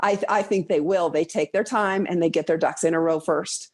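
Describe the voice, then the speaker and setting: A woman giving unscripted legal commentary in a measured, halting way with stammered restarts. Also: on the low side, a little mellow.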